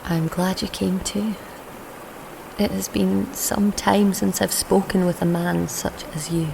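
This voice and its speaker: A woman playing a proud, lonely, cursed young daughter of a goddess in an audio drama, speaking in a measured, hushed, solemn way. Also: medium pitch, flat delivery, vocal fry, noisy background. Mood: wistful. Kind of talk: audio drama acting with first-person narration.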